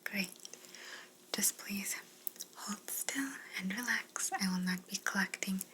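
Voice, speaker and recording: A woman voicing a polite, curious alien examiner in an ASMR roleplay, speaking gently and formally in a breathy whisper. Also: mid-range voice, fairly even intonation, slow, natural voice, poor audio. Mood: reassuring, fascinated.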